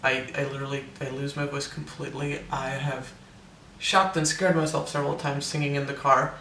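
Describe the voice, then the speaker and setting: A man's voice: medium pitch, level tone, a little fast, vocal fry, pushed and bright, roomy sound, far from the mic, slight background noise. A young man giving a casual, hesitant personal update, full of ums and restarts, his voice sometimes cracking with air breaking through. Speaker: vlogger.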